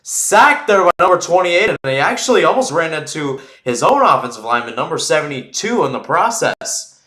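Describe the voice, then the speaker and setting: Animated man calling football, roomy sound. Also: a little high, a little fast. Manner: informal, self-correcting, thinking aloud. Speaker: play-by-play sports commentator. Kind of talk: live football radio-style commentary.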